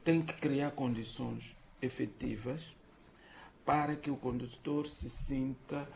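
A man speaking as an expert guest on a radio panel, in a measured, formal way. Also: medium pitch, fairly even intonation, vocal fry, darkish tone, slight background noise. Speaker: expert guest on traffic safety.

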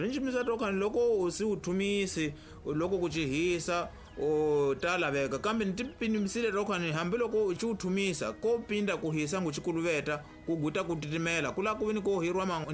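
A man's voice: high voice, a little fast, faint music behind, close to an everyday voice. A man playing Saidi, an earnest villager. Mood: persuasive. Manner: earnest, persuasive. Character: friendly man urging neighbor to use nets. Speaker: radio drama character.

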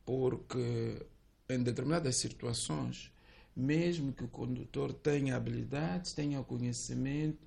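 A man, an expert guest on a radio debate, explaining in a measured, formal way. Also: medium pitch, steady tone, creaky, darkish tone.

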